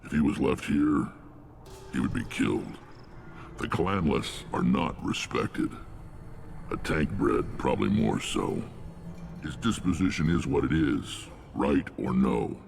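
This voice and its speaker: A man voicing a gruff, battle-worn alien warlord in a video game, commanding and wry, with a blunt, measured delivery. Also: animated, noisy background, heavily acted. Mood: proud, commanding. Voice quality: very deep, gravelly. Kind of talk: video game character acting.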